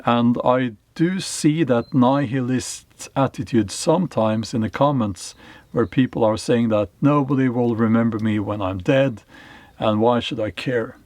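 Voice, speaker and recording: A middle-aged Norwegian man speaking English with a Norwegian accent, giving a slow, reflective monologue to camera, with pauses and fillers. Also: medium pitch, darkish tone, no echo. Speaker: channel host, historical novelist. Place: small cave in a forest.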